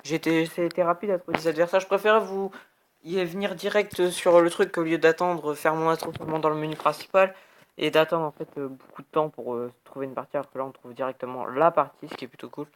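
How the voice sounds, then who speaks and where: A man's voice: a little high, a bit bright, a little hiss or hum. Brief: young male gamer, a bit congested, casual rambling play-by-play with "hop, bam" sound words. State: has a cold, sniffly. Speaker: gaming YouTuber. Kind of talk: casual gameplay commentary.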